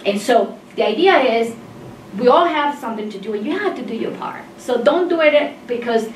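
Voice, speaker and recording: A woman's voice: on the high side, animated, normal speed. A woman in her fifties from Mexico, an engineering faculty member, making an emphatic, insistent comment from the audience of a seminar, picked up by a distant room mic. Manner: emphatic, insistent, gestures while talking. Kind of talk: impassioned audience comment in discussion. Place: seminar room with tables, indoors. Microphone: far room camera mic. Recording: roomy and distant with faint noise.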